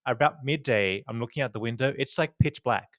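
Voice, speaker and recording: A man co-hosting a casual podcast, chatty and animated, sounding slightly croaky. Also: medium pitch, brisk, no room sound. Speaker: podcast co-host. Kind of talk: casual chat. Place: New York.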